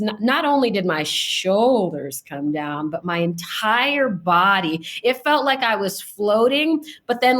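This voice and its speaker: A woman with a fairly high voice talks as a podcast guest, sharing her thoughts in a heartfelt, emotive way. Her speech is casual and loose, with "like" coming up often. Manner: emotive and heartfelt, heavy use of "like". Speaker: podcast guest, violinist and sound healer. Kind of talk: casual interview chat.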